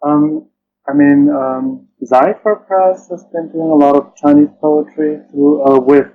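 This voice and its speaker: Hesitant man on a dull, noisy call. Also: mid-range voice. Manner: hesitant, pausing mid-phrase, repeating himself. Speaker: interview guest, poet and translator. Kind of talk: casual interview chat. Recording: dull lo-fi call with clear noise.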